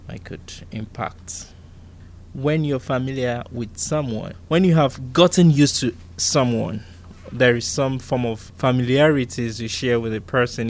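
A man's voice: mid-range voice, a little hiss or hum. Earnest Nigerian-born man in his thirties. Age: 30s. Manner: earnest, reflective, repeats himself for emphasis. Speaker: interviewee. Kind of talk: recorded personal interview.

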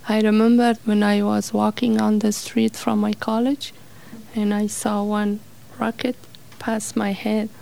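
A middle-aged woman, telling her life story in an interview in halting, simple English with fillers. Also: mid-range voice, vocal fry, mellow, low-quality recording. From Afghanistan.

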